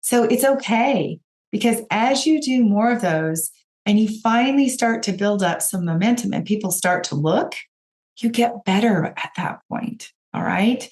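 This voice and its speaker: A woman with a raspy voice, hoarse from a cold, hosting a podcast and giving direct, encouraging coaching talk to her listeners. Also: mid-range voice, a little mellow. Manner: encouraging, direct, addresses listeners as you guys. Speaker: podcast host and business coach.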